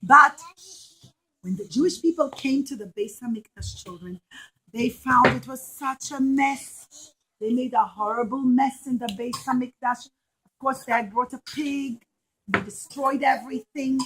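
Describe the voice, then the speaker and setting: Female children's storyteller, high voice, emphatic and repetitive delivery for a young audience. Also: a little slow, background noise. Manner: emphatic, repeats phrases for children. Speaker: children's storyteller and puppet show presenter.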